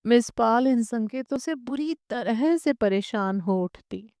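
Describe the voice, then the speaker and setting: A woman reading a literary short story as an audiobook narrator, in measured, expressive storytelling. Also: a little high, dry recording, clean studio sound.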